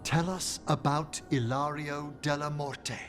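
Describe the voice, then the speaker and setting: A man's voice: a little high, fairly even intonation, measured pace, natural voice. A man playing a composed, courtly necromancer in a video game, formal and solemn, with music behind him.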